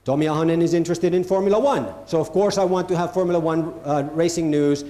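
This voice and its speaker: A man's voice: on the high side, fast talker, mellow. A man from Finland, giving an emphatic conference keynote talk and repeating phrases for stress. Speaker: conference speaker. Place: event hall with an audience. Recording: echoey hall.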